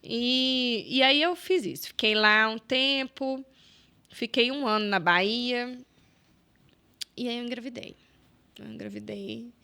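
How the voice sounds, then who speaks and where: A Brazilian-accented woman with a bright, slightly high voice talks through her past as a podcast guest. She rambles warmly with plenty of fillers and acts out her own remembered lines. The delivery stays casual and conversational. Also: takes it slowly, vocal fry, long pauses. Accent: Brazilian Portuguese. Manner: animated, many fillers and quoted self-talk. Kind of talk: casual storytelling interview.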